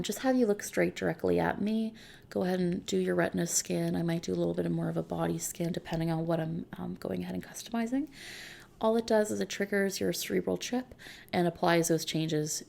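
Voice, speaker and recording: A woman playing a friendly, reassuring technician at a futuristic customization service in a soft-spoken, casual ASMR roleplay. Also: lowish voice, flat delivery. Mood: gentle, reassuring. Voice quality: soft, creaky. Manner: gentle, reassuring, casual, unhurried.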